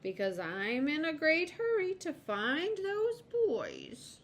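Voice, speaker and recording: A woman's voice: sits high, very animated, slow pace, slight background noise, voice pushed up, exaggerated voice. A woman voicing a courteous, eager, kindly male squirrel in a children's storybook, polite and reassuring. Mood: urgent, kindly.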